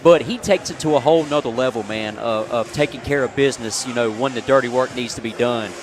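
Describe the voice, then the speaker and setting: A man's voice: mid-range voice, a little fast. A man giving casual, folksy basketball color commentary on a live radio broadcast. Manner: folksy, conversational, peppered with "man". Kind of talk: casual pregame analysis. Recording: noisy gym ambience behind live broadcast.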